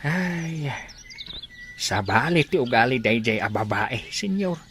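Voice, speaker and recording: A man's voice: mid-range voice, animated, takes it slowly, fairly bright tone, slight background noise. A man acting an easygoing, respectful young countryman in a radio-style drama, with an Ilocano accent. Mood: respectful, explaining.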